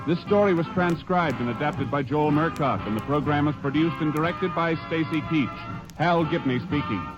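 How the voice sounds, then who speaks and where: A man reading the closing credits of a radio show in a crisp, formal way. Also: fairly high pitch, normal speed, lo-fi sound, over music. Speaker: radio announcer.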